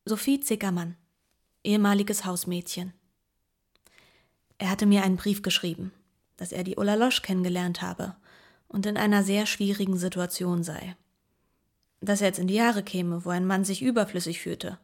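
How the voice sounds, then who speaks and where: An actress playing a former housemaid who gives measured, reflective testimony about her employers in a radio play. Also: medium pitch, fairly even intonation, a little mellow, natural voice. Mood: reflective, understanding.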